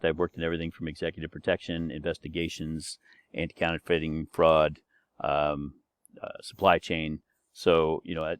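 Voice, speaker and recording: A man's voice: deep voice, no echo. A man in his sixties giving a recorded course introduction as the instructor, chatty and rambling with many fillers and restarts. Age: 60s.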